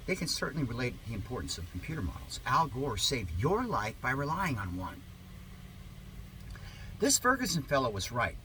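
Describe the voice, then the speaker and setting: A man performs a satirical reading with heavy sarcasm and mock-earnest emphasis. His voice is bright and forceful, swinging animatedly in pitch. A faint layer of noise sits behind the homemade recording. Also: big pitch swings, normal speed, low-quality recording. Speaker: reader of a satirical article.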